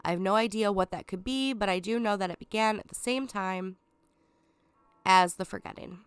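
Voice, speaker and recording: A woman's voice: mid-range voice, moderate pace, clear, resonant tone, no echo, close to an everyday voice. A woman reading a scheming, condescending lion villain. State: stuffed up from a cold. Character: scheming, sarcastic male lion villain, self-proclaimed king.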